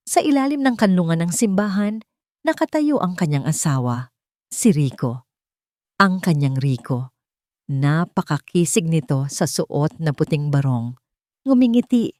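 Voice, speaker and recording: A woman with a Filipino accent narrating a scripted love story in a measured, sentimental way. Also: fairly low pitch, darkish tone, no room sound, studio quality. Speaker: narrator of audio love stories.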